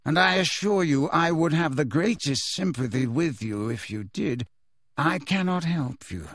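A man voicing a cold, indifferent male professor who speaks slowly, smoothly and sardonically, in a dry close recording. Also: a little high, a bit bright, no room sound, heavily acted. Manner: slow, smooth, cool, sardonic.

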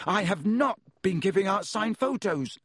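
A man's voice: a little high, very animated, moderate pace, sharp tone, dry recording, studio quality, pitched above the performer's own voice, exaggerated voice. A man voicing a teenage schoolboy wizard who answers hotly. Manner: hot-tempered.

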